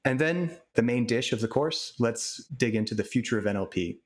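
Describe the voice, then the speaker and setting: A man's voice: medium pitch, animated, normal speed. A man hosting a podcast interview who asks his questions in an eager, friendly way. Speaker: podcast host. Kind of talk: conversational interview.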